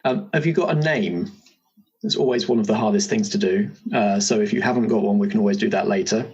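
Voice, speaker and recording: A man's voice: on the low side, fairly quick, darkish tone, breathy edge, muffled recording. A man hosting a tabletop character creation session as game master, guiding it with casual questions and explanations.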